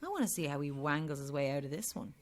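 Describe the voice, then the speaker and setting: A woman's voice: low-pitched, very animated, quick pace. A woman acting a sceptical, sardonic Irish journalist in an audio drama, blunt and challenging. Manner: challenging, dry.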